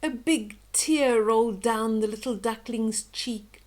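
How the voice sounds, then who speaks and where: An older woman reading a children's story aloud slowly and expressively, with gentle chatty asides to young listeners. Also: fairly high pitch.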